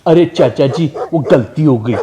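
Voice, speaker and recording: A man's voice: on the high side, measured pace, background noise, natural voice. Man playing a brash scheming dog thief. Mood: flustered. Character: boastful, scheming dog thief hawking his loot.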